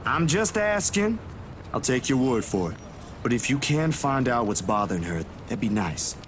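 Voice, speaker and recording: A man's voice: mid-range voice, big pitch swings, normal speed, lightly acted. A man playing an earnest, protective and stubborn young man in a visual novel game. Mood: concerned, insistent. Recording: clear background noise.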